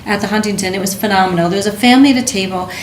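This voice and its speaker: A woman giving emphatic, animated critique in a casual group discussion, sometimes stumbling over her words. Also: medium pitch, rapid-fire. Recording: echoey room, faint noise.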